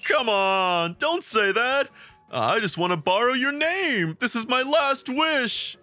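An actor voicing an excitable young man with big dreams in a manga comic dub, imploring and overdramatic. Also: medium pitch, slow pace. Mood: desperate pleading. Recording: dry voice with background music.

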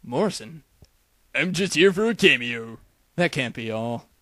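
A man voicing a flippant, cocky detective in a parody cartoon dub, wisecracking in a deadpan manner. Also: medium pitch, unhurried, slight background noise. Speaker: voice actor in a fan-made anime parody dub.

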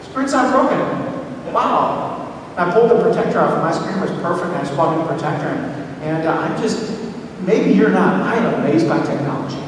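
A middle-aged man from Minnesota preaches in a loose, story-filled way, his fairly high voice often stumbling over restarts. He is picked up from a distance in a large, echoing church hall. A steady room noise hums under everything. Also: normal speed. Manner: animated storytelling with frequent restarts, pacing the stage. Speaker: pastor. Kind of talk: conversational sermon. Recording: echoey hall recorded from far off with background noise.

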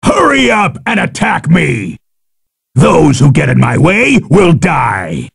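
Fighting game owl warrior: proud, battle-hungry, extremely gravelly, pitched high for a man. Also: huge pitch swings, a bit bright, exaggerated voice. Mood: challenging. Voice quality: gravelly, husky. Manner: haughty, deliberate, archaic challenges.

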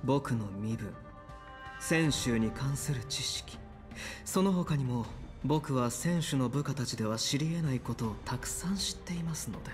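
A man playing a young, calculating and quietly resolute hostage in a video game, speaking in a hushed, composed manner. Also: medium pitch, expressive, unhurried, natural voice. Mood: composed, persuasive. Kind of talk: dramatic game voice acting. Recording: game music over the voice.

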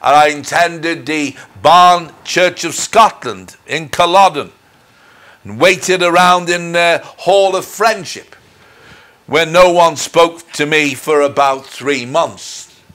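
A middle-aged man preaches his personal testimony in a fairly high voice for a man. He speaks very slowly and deliberately, with earnest, measured phrasing. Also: fairly bright tone. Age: middle-aged or older. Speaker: minister. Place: indoors in front of a dark curtain. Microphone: lapel mic.

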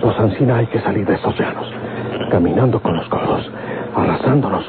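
Through a thin, old radio recording comes a tough bandit on the run acted by a man. His voice is low, with a country accent from northern Mexico. He speaks in terse, rustic commands, as in a classic radio serial. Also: a little fast, narrow, tinny audio, a loud crowd, natural voice. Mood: tense, hushed. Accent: rural northern Mexican.

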